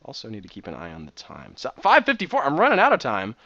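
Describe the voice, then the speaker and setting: Excitable thirtysomething man streaming a build game, bright lively voice, dry dull lo-fi mic. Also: mid-range voice, fast talker, no echo. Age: 30s. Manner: excitable, rambling. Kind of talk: casual commentary while gaming.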